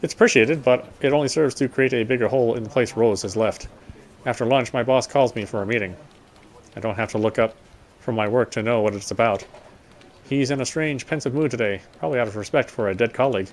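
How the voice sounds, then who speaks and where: A man reading a visual novel's text aloud as dramatic narration, solemn and a little halting. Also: medium pitch. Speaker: game player reading story text aloud.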